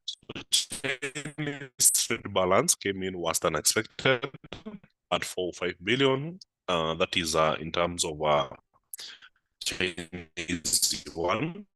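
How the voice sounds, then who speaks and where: A man's voice: low voice, animated, sharp tone, no echo, poor audio. A man hosting a live market analysis stream, his voice a little off from a flu, talking unhurriedly with many "uh" fillers. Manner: unhurried, with frequent "uh" fillers, answering live comments. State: voice affected by a flu.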